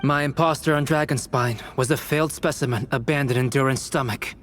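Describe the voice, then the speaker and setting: An actor voicing a calm, cerebral alchemist who speaks formally and with cool condescension in a game cutscene. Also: male voice, medium pitch, faint music behind, natural voice. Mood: composed. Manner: measured, formal, coolly condescending. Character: calm, cerebral male alchemist exposing an impostor. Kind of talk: dramatic game cutscene dialogue.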